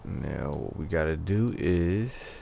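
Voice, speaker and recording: A man giving casual game commentary, thinking aloud in an unhurried, halting way. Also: rumbling low, expressive, vocal fry, mellow, slight background noise. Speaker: gamer narrating his own playthrough.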